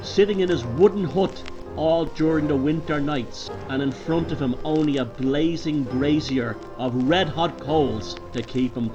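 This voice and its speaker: A man with a Dublin Irish accent narrating a horror tale in a deliberate, theatrical pub-yarn manner. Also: medium pitch, dull audio. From Ireland. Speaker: storyteller. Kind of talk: dramatic horror story narration.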